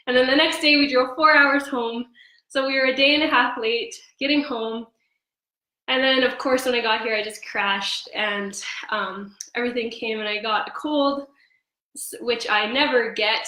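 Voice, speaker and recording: A young woman with a slightly hoarse voice, chatting casually on a live cooking stream with frequent ums. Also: fairly high pitch, sharp tone. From Canada. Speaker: host of a cooking livestream. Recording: echoey room.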